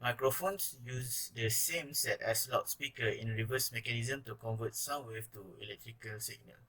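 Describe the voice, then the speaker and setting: A young man with a Malaysian accent delivers a student presentation from a script. His voice is bright and forceful, at a middling pitch. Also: normal speed, low-quality recording. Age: young adult.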